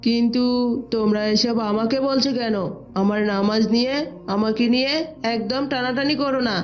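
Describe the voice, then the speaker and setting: A reluctant young boy from a moral cartoon, voiced by a woman. The voice is high, questioning and complaining. The sound is slightly dull and lo-fi. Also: steady tone, moderate pace, lightly acted. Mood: annoyed. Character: reluctant young boy, whiny little brother. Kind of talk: Islamic moral cartoon dialogue. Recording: dull lo-fi audio.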